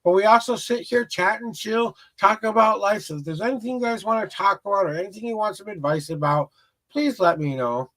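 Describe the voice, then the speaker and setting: A man's voice: high voice, brisk, a bit bright, poor audio. A man with a rural Minnesota Midwest accent, rambling through a casual livestream tarot reading with frequent restarts. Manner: rambling, repeats words and restarts often. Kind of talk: casual livestream chat with viewers.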